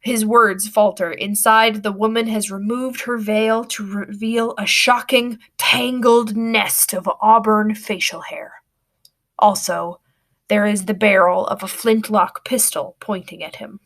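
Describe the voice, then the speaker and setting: A woman reading a storybook aloud with expressive, dramatic narration. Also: mid-range voice, a little slow.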